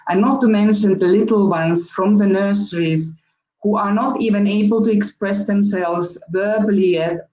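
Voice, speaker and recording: A woman from Finland with a Finnish accent, giving a measured webinar talk as a guest speaker on early childhood education. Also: fairly low pitch, darkish tone, reverberant, poor audio. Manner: measured, with fillers.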